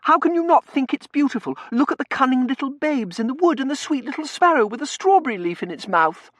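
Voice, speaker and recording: A man's voice: expressive, fairly quick, fairly bright tone, no echo, clean studio sound, heavily acted. A man voicing a spoiled, petulant young lady in an audiobook, indignant and imperious. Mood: petulant, demanding. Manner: shrill, indignant, imperious, gushing. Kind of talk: audiobook character voice.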